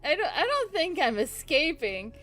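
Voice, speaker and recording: A woman's voice: high voice, very animated, normal speed, a bit bright, soft background music. A woman livestreamer chatting casually to her game stream, giggly and rambling, often repeating her phrases.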